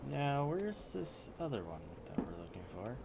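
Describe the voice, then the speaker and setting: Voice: medium pitch, very animated, normal speed, creaky, heavy background noise. A game streamer giving casual, laid-back commentary, often echoing the game's lines.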